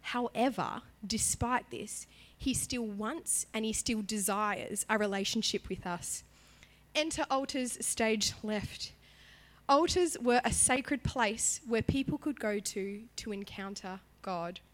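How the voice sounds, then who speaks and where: A woman worship leader giving a sermon in a church, chatty and animated in her emphasis, her voice partly gone from illness. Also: fairly high pitch, slow pace. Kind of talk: sermon with prayer.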